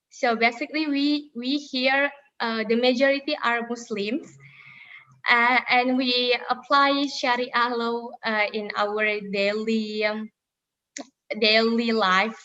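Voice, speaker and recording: Indonesian woman, slightly high, muffled call. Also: pushed and bright. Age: 20s. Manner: hesitant, many fillers and restarts. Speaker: interview guest, former intern. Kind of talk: conversational interview answers.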